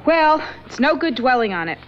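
An actress playing an earnest, spooked young woman in a 1970s radio drama, often speaking in a hushed whisper. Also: high-pitched, sing-song, moderate pace. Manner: hushed, whispering over the board. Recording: muffled old radio broadcast, faint hiss.

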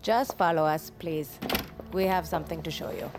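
A woman's voice: low-pitched, normal speed, music in the background, natural voice. A woman playing a polite, composed secret agency official in an audio drama, courteous and matter-of-fact.

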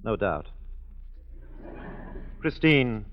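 A stiff, jealous police inspector in a vintage radio play, heard with long hall reverb. His speech is formal and dryly pointed, yet the pitch moves in lively turns. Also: extremely slow, muffled recording, exaggerated voice. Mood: probing, dry. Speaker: radio drama actor. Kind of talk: 1940s radio acting.

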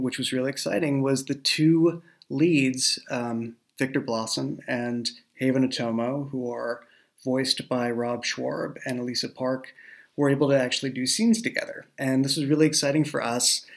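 A man's voice: mid-range voice, creaky. A man talking casually and with enthusiasm as a show creator on a podcast. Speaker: audio drama creator and writer.

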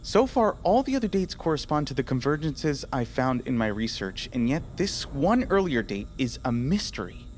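A man playing a flustered investigator in an audio drama, haltingly talking to himself as he works. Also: medium pitch, sing-song, slight background noise, lightly acted. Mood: puzzled, excited. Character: flustered male investigator combing through old tapes.

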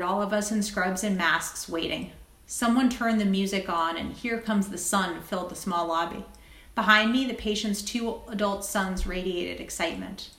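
Woman doctor on an interview, articulate, measured, medium pitch, really roomy echo. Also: pushed and bright. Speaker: guest, critical care doctor and writer. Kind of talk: interview talk and reading own essay aloud. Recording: roomy, echoing sound.

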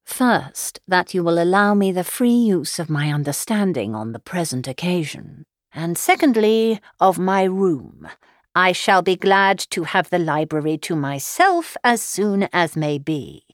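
A woman voicing a calm, dry-witted middle-aged father in a classic novel, deadpan, measured and formal. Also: fairly low pitch, dry recording. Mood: dryly polite. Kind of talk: audiobook character voice, classic novel.

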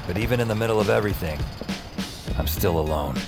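Actor as a low-voiced, wry loner narrator. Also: male voice, quick pace, close to an everyday voice. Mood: wry, downcast. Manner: deadpan, wry. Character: lonely, wry male loner narrating his strange life. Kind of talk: first-person scripted narration, audio fiction. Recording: music under the voice.

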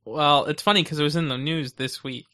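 A man's voice: on the high side, fairly even intonation, fairly quick, bright, forceful tone. A man co-hosting a podcast in casual, conversational back-and-forth. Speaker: podcast co-host. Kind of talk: casual chat.

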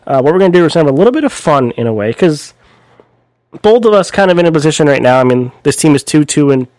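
A man co-hosting a casual sports podcast, a little raspy from a cold, talking in a rambling way with lots of fillers. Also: fairly high pitch, expressive, fast, darkish tone, dry recording. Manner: rambling, many fillers and restarts. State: recovering from a cold, slightly raspy. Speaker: podcast co-host.

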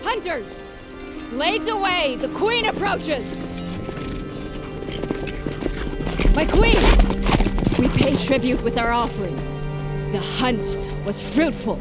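An actress playing a hot-tempered, commanding young huntress who leads a pack in a fantasy audio drama. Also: female voice, sits high, animated, slow, loud music behind the voice. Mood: commanding, rallying. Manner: forceful, commanding.

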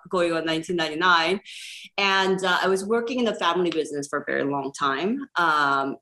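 A woman's voice: on the low side, pushed and bright. A woman, speaking as a guest in a casual podcast interview, chatty and rambling with frequent fillers and laughter. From South Korea. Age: 40s. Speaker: guest entrepreneur.

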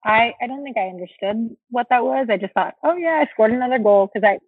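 A woman's voice: fairly high pitch, fairly quick, breathy edge, no room sound. Woman on a tinny dry phone line. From United States. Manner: relaxed, chatty, frequent fillers and restarts. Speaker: interview guest, former soccer player. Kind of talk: casual interview talk.

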